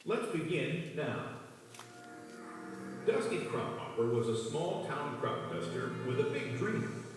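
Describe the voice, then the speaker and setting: A man narrates a children's storybook with lively intonation and a slightly high voice. The recording is heavily echoed and distant, with music playing behind him. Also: takes it slowly. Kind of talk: scripted children's read-along narration.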